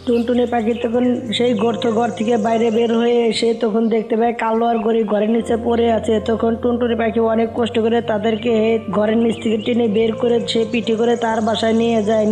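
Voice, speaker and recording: A man's voice: extremely high pitch, mellow. A man narrating a scripted children's cartoon story.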